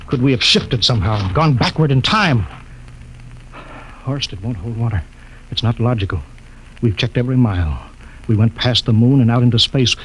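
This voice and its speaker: Stern captain, played by a man, clipped commands, mid-pitched, 1950s radio drama. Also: lively intonation, moderate pace, pauses often, lightly acted, poor audio. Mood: reasoning. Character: stern spaceship captain, middle-aged man, logical. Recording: old radio broadcast with faint hiss.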